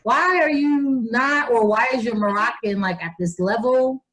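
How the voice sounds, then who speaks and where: Female interviewer, slightly high pitch, informal and halting, rephrases mid-sentence. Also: fairly bright tone. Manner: halting, rephrases questions as she goes. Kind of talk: casual interview.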